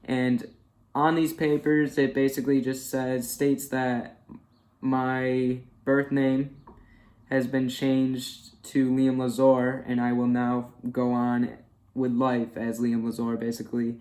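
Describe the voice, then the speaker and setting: A man's voice: mid-range voice, monotone, slow pace, a little mellow. A twenty-one-year-old man chatting candidly in a casual personal vlog, with many fillers. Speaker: vlogger.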